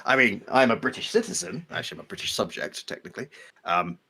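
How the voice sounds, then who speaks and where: A man's voice: medium pitch, big pitch swings, quick pace, bright, forceful tone, slightly rough. A man from Belgium whose first language is French, co-hosting a casual live stream and explaining things in a digressive way. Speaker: livestream co-host, game asset developer.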